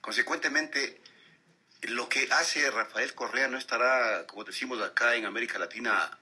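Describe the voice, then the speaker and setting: A man's voice: lowish voice, pushed and bright, slightly rough. A man with an Ecuadorian accent hosting a formal radio interview, courteous and wordy.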